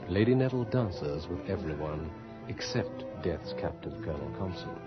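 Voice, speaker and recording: An actor playing a suave, courtly gentleman poet who narrates a ball in a radio horror drama, with a theatrical delivery. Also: low-pitched, lively intonation, normal speed. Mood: suspenseful. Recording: dull 1980s radio recording with music.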